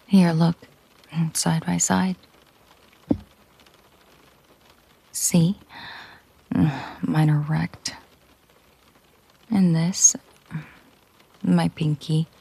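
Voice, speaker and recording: A woman playing a possessive, coolly menacing mafia boss girlfriend in an intimate audio roleplay, teasing and commanding in a breathy, husky murmur. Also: fairly low pitch, mellow, exaggerated voice.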